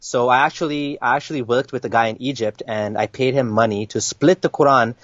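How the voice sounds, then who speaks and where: A man's voice: medium pitch, fairly even intonation, brisk, a bit bright, no echo. A young man from Kenya, a guest in an interview, telling his story in casual talk with many restarts and fillers. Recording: dry, dull lo-fi sound.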